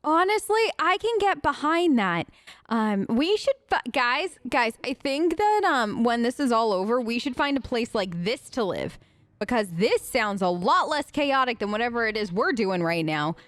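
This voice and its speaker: A woman's voice: fairly high pitch, big pitch swings, normal speed, no echo. A woman improvising in character for a video game let's play, chatty and hesitant, with an awkwardly polite manner.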